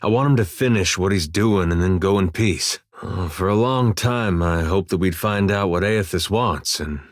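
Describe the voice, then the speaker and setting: Male actor as wry, weary farmhand soldier for a fantasy game: low drawl, plain-spoken, dry close recording. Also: normal speed, no room sound, lightly acted. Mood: wistful. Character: easygoing young-middle-aged farmer-soldier, wry, folksy, weary. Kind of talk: video game companion dialogue.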